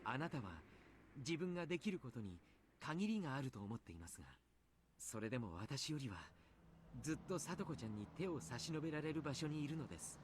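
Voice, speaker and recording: An actor playing a gentle, courteous adult mentor with formal, sincere phrasing in a Japanese visual novel. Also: male voice, fairly high pitch, very animated, rapid-fire, a little hiss or hum. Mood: earnest, encouraging.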